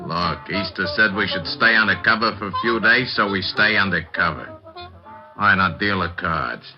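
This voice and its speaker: A man's voice: sits low, moderate pace, over music, close to an everyday voice. An actor playing a wary henchman who follows the boss's orders, talking in terse tough-guy style in an old-time radio crime drama. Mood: wary, firm. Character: wary male hoodlum, obedient henchman to a crime boss. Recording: muffled vintage radio recording.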